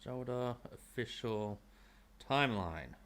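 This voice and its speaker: A man's voice: medium pitch, lively intonation, slow, a bit bright. A man hosting a casual interview, asking questions in a dry, teasing way. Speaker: interviewer, host. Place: small indoor streaming room. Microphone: close studio microphone.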